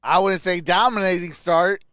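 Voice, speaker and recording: High-pitched man calling football live. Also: normal speed, a bit bright, clean tone, no room sound, clean studio sound. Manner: informal, short clipped calls. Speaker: live stream sports host. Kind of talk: live play-by-play and fan reactions with chat.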